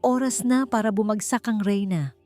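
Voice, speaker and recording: A woman voicing a calm, calculating old businessman in a measured way for a story narration. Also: medium pitch, soft background music, voice pushed up, lightly acted. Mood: resolute.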